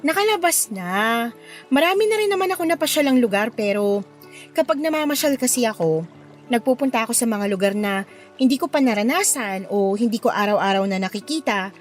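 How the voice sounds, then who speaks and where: An actress with a Filipino accent playing a friendly, playful young local woman in a radio drama, lively and coaxing. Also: fairly high pitch, brisk, faint music behind, lightly acted. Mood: chatty, wistful. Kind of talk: Tagalog radio drama acting.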